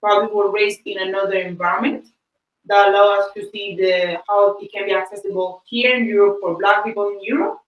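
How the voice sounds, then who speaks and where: Lively Spanish-speaking woman, echoey room. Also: mid-range voice, a little fast, recorded from a distance, highs cut off. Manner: lively storyteller, laughs often, says "like" a lot. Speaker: podcast co-host. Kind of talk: casual chat, storytelling.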